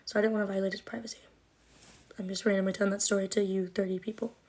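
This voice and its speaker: A woman's voice: medium pitch, level tone, very fast, darkish tone. Chatty young woman with a slight lisp. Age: 20s. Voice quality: slight lisp and trouble with R sounds. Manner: rambling and chatty, many likes and ums. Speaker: livestreamer. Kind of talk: casual livestream chat answering comments.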